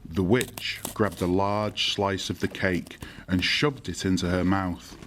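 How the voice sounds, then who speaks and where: A man's voice: deep voice, fairly even intonation, takes it slowly, a bit bright, a little hiss or hum. A man narrating a fairy tale as the storyteller in an audio drama. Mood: measured, storytelling.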